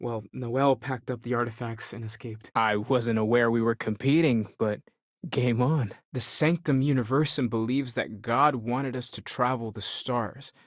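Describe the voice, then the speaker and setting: A man's voice: medium pitch, fairly even intonation, a little slow, dry recording, natural voice. Video game role, male actor: earnest man of faith exploring space. Mid-range voice, sincere and reflective, natural conversational delivery, very dry sound. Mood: wry. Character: earnest, devout young male space explorer, thoughtful seeker.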